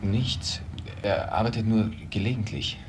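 An actor as an evasive, low-voiced grown son. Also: male voice, steady tone, measured pace, noisy background, close to an everyday voice. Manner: reluctant, short answers. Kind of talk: crime drama dialogue.

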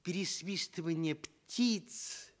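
A man narrating a fairy tale for Soviet radio, tender and expressive, with dramatic pauses. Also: fairly high pitch. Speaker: storyteller.

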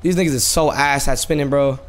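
A man's voice: medium pitch, steady tone, fast talker. An American man chatting on a casual gameplay stream in brash, profane, repetitive street slang. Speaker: live streamer playing a GTA roleplay game.

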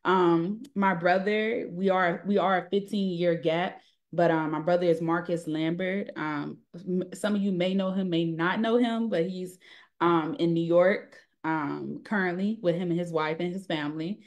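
A woman's voice: fairly low pitch, fairly even intonation, moderate pace. A woman from Cincinnati, Ohio, chatting casually as a guest on a video call.

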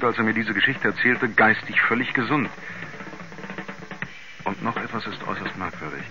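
An actor playing a sober male investigator, narrating a strange case in a measured, formal, factual way. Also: lowish voice, lightly acted. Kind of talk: scripted narration in 1970s German radio drama. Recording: dull vintage radio recording.

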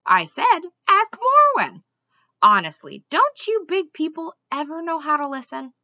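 A woman's voice: sits high, very animated, unhurried, bright, forceful tone, no echo, dull audio, voice pushed up, heavily acted. A woman voicing a bossy, huffy female squirrel who lectures emphatically in a storybook read-aloud. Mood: exasperated, bossy.

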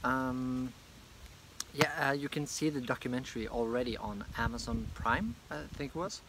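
A man's voice: mid-range voice, normal speed, fairly bright tone, slight background noise, rough recording. A young man with a Norwegian accent who makes comics, chatting loosely through a casual video review on a park bench, with many fillers. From Norway. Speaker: comic artist and vlogger. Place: park bench outdoors, beside a hedge. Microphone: phone camera at arm's length.

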